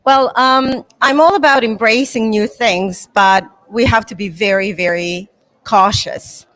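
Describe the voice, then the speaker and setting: A woman's voice: fairly high pitch, unhurried, a bit bright, poor audio. Middle-aged balkan woman, serbo-croatian native, talks earnestly about teaching, lots of ums. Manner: earnest, reflective, with fillers. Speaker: materials writer and incoming association president. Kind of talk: conference interview.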